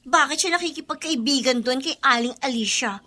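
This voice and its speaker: A woman's voice: high-pitched, fairly quick, bright, forceful tone, a little hiss or hum. A woman playing a snobbish, whiny teenage girl in a radio drama. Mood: haughty, whiny. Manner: whiny, haughty, exaggerated exclamations. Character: snobbish, bratty teenage girl, disdainful of poorer schoolmate. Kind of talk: Filipino radio drama acting.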